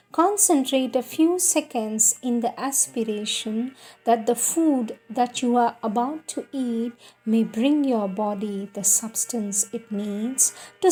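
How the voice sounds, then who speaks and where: A woman reads spiritual guidance in a very slow, deliberate delivery. Her voice sits a little high and has a husky, unpolished grain. The reading is solemn and instructive, measured and earnest throughout. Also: warm tone, rough recording. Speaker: reader of spiritual teachings. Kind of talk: solemn scripted devotional reading.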